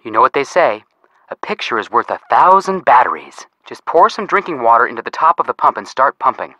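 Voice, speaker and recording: A bright, animated young American man. He sounds cheeky and casual, tossing out slangy tips with a lot of pitch movement. The recording is close and dry. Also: a little fast, no room sound, muffled recording. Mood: casual, helpful. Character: cheeky, joking young male amateur detective giving hints. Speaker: detective helper giving hints. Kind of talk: scripted video game voice acting.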